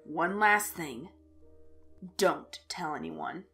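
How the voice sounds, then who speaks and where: A woman's voice: low-pitched, lively intonation, extremely slow, bright, forceful tone, soft background music, full character voice. Woman as a blunt, irritable college guy. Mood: stern. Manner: curt, exasperated, swearing. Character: blunt, irritable young male college student.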